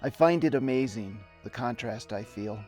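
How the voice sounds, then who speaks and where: A middle-aged man giving a dramatic reading of his own memoir in deliberate, theatrical storytelling. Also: medium pitch, a little mellow. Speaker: narrator and videographer. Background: music bed.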